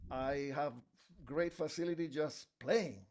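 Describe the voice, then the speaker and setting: A man's voice: a little high, slow, crowd noise. An older man from Chile, a flutist, telling stories in an easygoing, rambling way over a video call. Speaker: flutist student. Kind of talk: casual chat on a video call.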